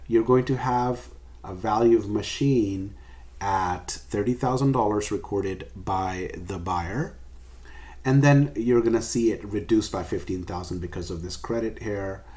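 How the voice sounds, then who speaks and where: A man's voice: on the low side, a little mellow. A man giving an explanatory recorded lecture as a professor, going step by step and stressing key points. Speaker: accounting professor.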